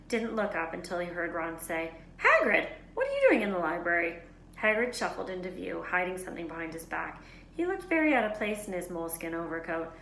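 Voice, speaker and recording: Low-voiced woman reading a story, echoey room. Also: fairly bright tone. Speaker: teacher reading aloud. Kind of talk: expressive storybook narration. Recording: echoey room, faint background noise.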